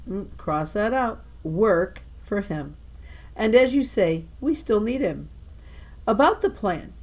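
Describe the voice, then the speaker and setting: A woman's voice: mid-range voice, darkish tone, low-quality recording. A woman voicing an earnest young schoolgirl in a children's novel, carefully dictating and correcting a letter. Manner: careful dictation with self-corrections, spelling out words.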